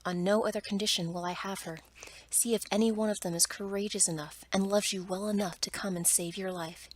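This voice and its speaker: Lady reading as angry storybook beast guy, all whispery n breathy, stern formal orders. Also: medium pitch, natural voice. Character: stern, angry male beast, lord of a palace.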